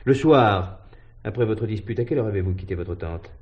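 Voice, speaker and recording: Low-pitched male police commissioner played by an actor, stern, methodical, clipped formal questioning, vintage French radio play. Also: expressive, brisk, lo-fi sound, natural voice. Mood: probing, dry.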